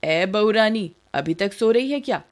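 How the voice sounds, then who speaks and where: A woman's voice: mid-range voice, expressive, moderate pace, close to an everyday voice. A woman voicing a haughty, bossy rich mother who dotes on her son, in a cartoon story. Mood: angry, bossy. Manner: scolding, boastful.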